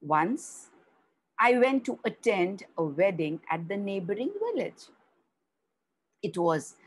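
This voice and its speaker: A woman narrating a children's story slowly, explaining as she goes and leaning on key words. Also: fairly low pitch, low-quality recording. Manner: slow, explaining, with rising emphasis on key words.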